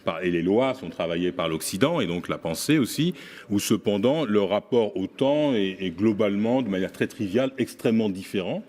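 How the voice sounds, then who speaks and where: A man making an academic point in a workshop discussion, speaking deliberately with pauses and restarts. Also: lowish voice, animated, a little mellow. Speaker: workshop participant.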